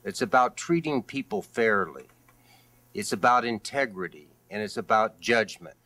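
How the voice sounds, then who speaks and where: Deep voiced guy in a political debate, halting, lots of uhs and pauses. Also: animated, pushed and bright. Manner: halting, hesitant delivery with many long pauses. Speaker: candidate for district attorney in a debate.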